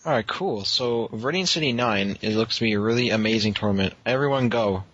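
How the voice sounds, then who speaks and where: A man's voice: fairly low pitch, fairly quick, fairly bright tone, slightly rough, dry recording, highs cut off. A man hosting a podcast in a casual, chatty style. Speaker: podcast host. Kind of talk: casual chat.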